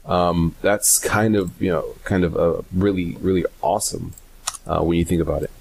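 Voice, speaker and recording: Low-pitched man, casual halting tutorial talk. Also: fairly even intonation, gravelly, darkish tone, slightly rough. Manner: hesitant, halting, self-correcting, rambling delivery. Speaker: software tutorial presenter. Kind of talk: casual unscripted screencast tutorial.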